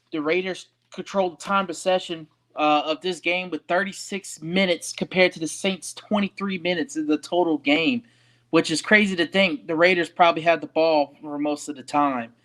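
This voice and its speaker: A man's voice: fairly high pitch, fairly even intonation, moderate pace, a bit bright. A young man hosting a sports podcast alone, giving a casual post-game recap with frequent ums and uhs.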